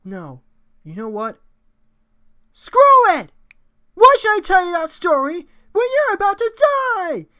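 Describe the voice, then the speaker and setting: Man putting on a high-voiced fierce warrior woman. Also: huge pitch swings, a little slow, long pauses, bright, forceful tone, heavily acted. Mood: furious, shouting. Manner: dramatic, declamatory, menacing. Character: fierce, proud female fish-warrior captain. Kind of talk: character voice acting of video game dialogue.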